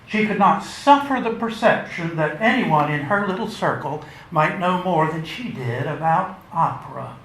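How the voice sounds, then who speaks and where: A man giving a measured, theatrical and wry reading of literary fiction at a live event. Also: a little high, lively intonation, fairly bright tone. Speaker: author reading aloud. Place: indoor launch event before an audience. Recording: roomy live event, faint noise.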